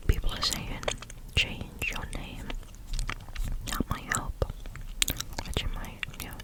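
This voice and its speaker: A person speaking entirely in a breathy, unvoiced whisper, reading stories aloud in a casual, conversational ASMR style. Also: takes it slowly. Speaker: host reading listeners' or online stories aloud.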